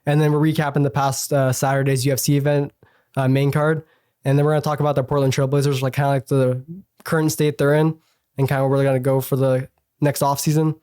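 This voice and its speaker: Young male radio sports host, a bit hoarse with a cold, even monotone delivery, mellow tone, dry studio sound. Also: medium pitch, fast, no echo. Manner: chatty, many fillers and self-corrections. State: slightly hoarse from a cold, sniffly. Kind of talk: casual solo sports talk.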